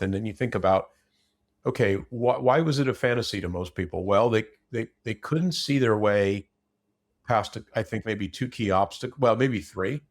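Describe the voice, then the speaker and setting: A man in a casual unscripted discussion, opinionated and blunt, rambling through broken-off sentences. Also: on the low side.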